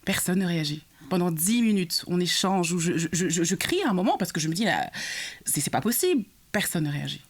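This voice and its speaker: A young woman from Congo recounting her experiences in a podcast conversation, animated and full of hesitations and restarts. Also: lowish voice, brisk. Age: young adult. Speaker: guest sharing her experience.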